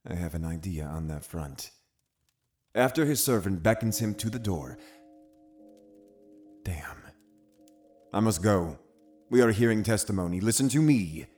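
A slow, low-voiced Puritan zealot. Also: male voice, animated, a little mellow, soft background music. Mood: stern, urgent. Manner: measured, commanding, deliberate, condescending. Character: cunning, zealous Puritan uncle, a menacing witch-hunting authority. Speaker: actor in an audio drama. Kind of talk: full-cast audio drama acting.

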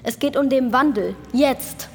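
Woman playing a high-voiced, earnest eco-rebel. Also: a little slow. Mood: solemn, resolute. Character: resolute female eco-activist microbe inside a cow, self-sacrificing rebel. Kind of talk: scripted radio play acting. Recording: faint background noise.

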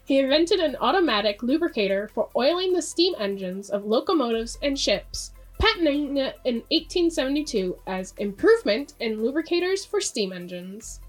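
A woman's voice: high voice, sing-song, moderate pace, hardly any pauses, faint music behind. A woman hosting a podcast, reading a scripted segment aloud. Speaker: podcast host.

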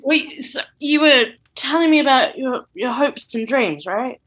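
Woman doing a dithering old wizard man, high-pitched, bright, apologetic, exclamatory, comedy sketch. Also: expressive, measured pace, exaggerated voice. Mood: flustered, apologetic. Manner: dithering, exclamatory, absent-minded. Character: scatterbrained, apologetic old male wizard headmaster.

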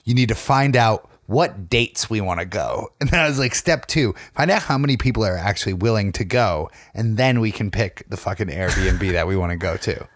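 A young American man co-hosting a casual podcast. His voice sits on the low side and is recorded close and dry. He talks in a teasing, loose way and swears freely as he tells stories. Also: lively intonation, moderate pace, few pauses, no room sound. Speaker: podcast co-host. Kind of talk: casual chat.

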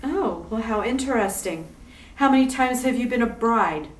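A woman's voice: fairly high pitch, lively intonation, moderate pace, far from the mic, natural voice. A woman playing a poised, affectionate sleuth's wife in a retro radio comedy, coaxing and playful. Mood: arch. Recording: echoey room, off-mic, background noise.

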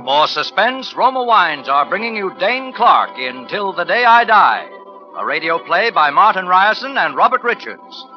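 A male announcer from 1940s radio with a high-pitched voice. He speaks formally and theatrically. Music plays in a dull, aged recording. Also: very animated, normal speed.

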